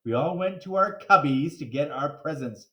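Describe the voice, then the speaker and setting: A man's voice: a little high, lively intonation, moderate pace, pushed and bright, echoey room, poor audio. A man reading a children's storybook aloud in a playful, dramatic manner with chatty asides.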